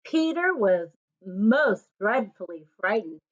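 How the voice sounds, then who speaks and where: Slow-paced woman reading a children's story. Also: medium pitch, sing-song. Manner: slow, deliberate pauses between short phrases. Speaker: storybook reader. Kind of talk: children's read-aloud storytelling. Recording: dull lo-fi recording.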